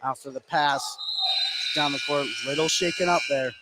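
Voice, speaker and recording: A man's voice: fairly high pitch, steady tone, normal speed. A man giving conversational color commentary during a live basketball broadcast. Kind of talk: live sports analysis, conversational. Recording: noisy live gym broadcast.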